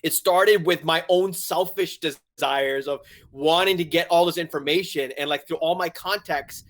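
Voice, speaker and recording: Animated man in his forties, from Hong Kong. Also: a little high, moderate pace. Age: 40s. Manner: animated storyteller, laughs often, acts out his own past thoughts. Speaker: guest, website founder. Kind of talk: casual interview conversation.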